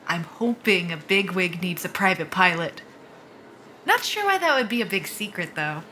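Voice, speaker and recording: A woman's voice: on the low side, big pitch swings, normal speed, sharp tone, slight background noise. An actress playing a wry, down-on-her-luck young military pilot in a naturalistic audio drama. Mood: guarded, dry.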